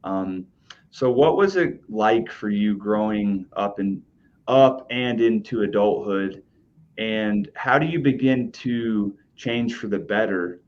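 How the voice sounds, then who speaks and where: Male podcast host, low voice, casual friendly questions, dull lo-fi recording. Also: slow pace. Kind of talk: interview questions. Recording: dull lo-fi sound.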